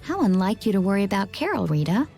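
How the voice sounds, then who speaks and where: A woman's voice: mid-range voice, very animated, fast talker, gravelly, mellow, soft background music. Serene, teasing young woman. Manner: composed, coy. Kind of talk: video game voice acting.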